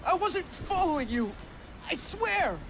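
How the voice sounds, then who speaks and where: A terrified male thug in a game, pleading and whimpering. The voice leaps wildly at a very high pitch and drags slowly through the panic, with music behind. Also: big pitch swings, fairly bright tone, slight background noise, full character voice. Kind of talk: video game cutscene acting.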